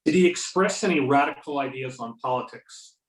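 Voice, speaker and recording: A man's voice: medium pitch, fairly quick, natural voice. A man playing a businesslike investigator questioning a witness in an amateur readers theater drama. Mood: inquiring. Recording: roomy, echoing sound.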